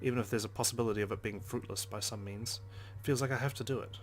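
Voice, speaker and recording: A man's voice: fairly low pitch, steady tone, fast talker. A man reading visual novel narration aloud dramatically as a let's play host, with brief casual asides. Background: game background music.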